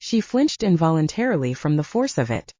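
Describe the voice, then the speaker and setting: Mellow, low-voiced woman narrating fiction. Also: big pitch swings, a little fast, no room sound, muffled recording. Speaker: audiobook narrator.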